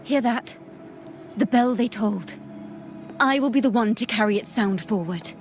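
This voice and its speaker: An actress playing a brooding, resolute young heroine in a video game, speaking with serious intensity. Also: female voice, fairly high pitch, normal speed, faint music behind, lightly acted. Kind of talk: dramatic game voice acting.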